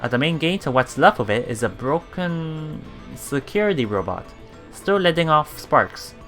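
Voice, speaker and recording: Bright-voiced man reading a game aloud. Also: fairly high pitch, big pitch swings, faint music behind. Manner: halting reading with stumbles and self-corrections. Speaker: let's play streamer. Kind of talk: reading visual novel text aloud with casual reactions.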